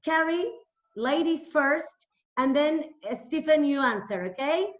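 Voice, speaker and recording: A woman's voice: high voice, unhurried. A woman hosting an informal interview, speaking hesitantly in simple phrases and repeating herself. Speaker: interviewer, English teacher. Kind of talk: informal interview over video call. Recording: dull, echoey call audio.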